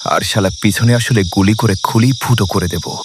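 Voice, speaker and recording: A man's voice: lowish voice, moderate pace, noisy background, voice pushed down, lightly acted. Man putting on a coarse, threatening drunken thug. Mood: angry, threatening. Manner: coarse, aggressive shouting. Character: drunken, threatening young gangster with a pistol.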